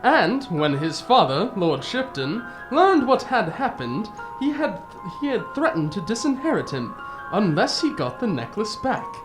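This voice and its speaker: A woman playing a genial, easily flustered older gentleman storyteller in a radio drama, with a proper, old-fashioned way of talking. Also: mid-range voice, very animated, unhurried, music in the background, poor audio. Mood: grave. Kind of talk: radio drama narration and dialogue.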